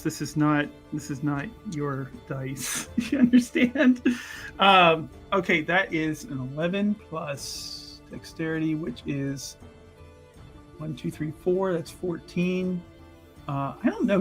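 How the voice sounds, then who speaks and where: A man chatting casually as a player in a tabletop game, jokey and quick to laugh. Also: a little high, fairly bright tone, soft background music.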